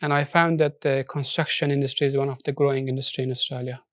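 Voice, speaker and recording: A man's voice: mid-range voice, little pitch movement, no echo. Earnest man from Afghanistan, dry studio sound. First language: Persian. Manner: earnest, halting non-native English with restarts. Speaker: social enterprise founder. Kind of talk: studio interview.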